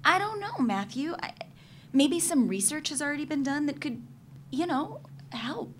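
A woman's voice: sits high, big pitch swings, moderate pace. An actress playing a curious, persistent young woman in a dramatic reading of novel dialogue, casual and probing. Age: young adult. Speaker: actor in a staged reading. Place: indoor stage at a public reading. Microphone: microphone on a stand. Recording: noisy live stage recording.

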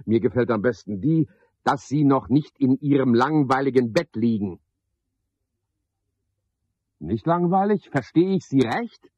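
Husky, pompous, sardonic porter man. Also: medium pitch, expressive, a little slow, long pauses, darkish tone, no echo, muffled recording. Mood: teasing, flirtatious. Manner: rambling, pompous monologue with mocking asides. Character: garrulous, pompous, sardonic male porter at a boarding house. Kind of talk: 1970s German radio play acting.

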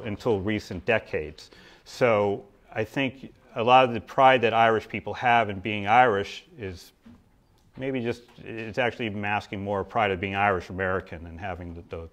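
A man's voice: fairly low pitch, fairly bright tone. A man giving a history talk at a podium in a lecture hall, then taking audience questions, with a conversational manner and frequent restarts.

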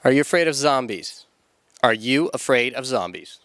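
Young man as joke reporter, deadpan clipped questions, handheld mic, dry close sound. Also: medium pitch, moderate pace, dry recording. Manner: terse, repetitive one-word questions. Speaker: mock street reporter. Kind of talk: comedic deadpan interview questions. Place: indoor church hall stage.

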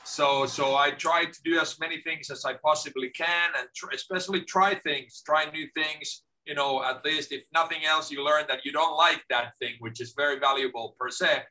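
A middle-aged man from Finland, a guest on a video call from his home office, talking in a lively way and often repeating words as he starts a sentence. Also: fairly high pitch, normal speed, hardly any pauses, poor audio. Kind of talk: casual video-call interview.

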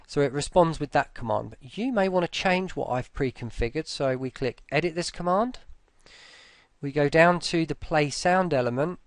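A man talking through a casual screen-recorded software tutorial, informally, with muttered asides to himself. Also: on the high side, normal speed, no room sound.

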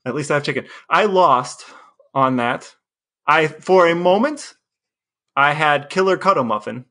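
A man's voice: fairly high pitch, lively intonation, measured pace, bright, forceful tone. A man co-hosting a podcast, chatting casually and telling personal stories. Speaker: podcast host. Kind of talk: casual conversational podcast talk.

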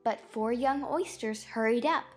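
A woman's voice: on the high side, a little slow, soft background music. A woman playing a pompous, comic twin boy reciting in a dramatized storybook audiobook.

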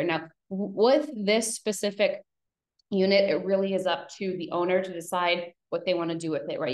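A roomy, echoing webcam recording of a woman with a slightly low voice. She talks through slides as a real estate presenter, explaining in an informal, conversational way. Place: indoor room, video call. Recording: roomy echo on webcam call.

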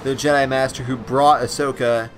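Raspy, stuffed-up excitable male gamer. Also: medium pitch, moderate pace, slight background noise. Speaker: let's play host. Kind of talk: gameplay commentary.

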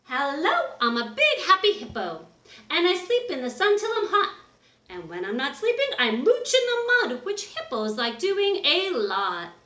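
A woman's voice: extremely high pitch, wildly swooping pitch, a little slow, pushed and bright, exaggerated voice. A woman voicing a big, happy, lazy hippo in a children's storybook, in cheerful sing-song rhyme. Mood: happy, contented. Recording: roomy, echoing indoor recording.

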